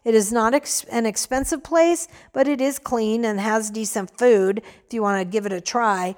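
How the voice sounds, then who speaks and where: A woman's voice: on the high side, moderate pace, a little mellow, close to an everyday voice. A woman voicing a helpful tall man in a storybook, giving plain directions. He speaks in an easy, friendly way.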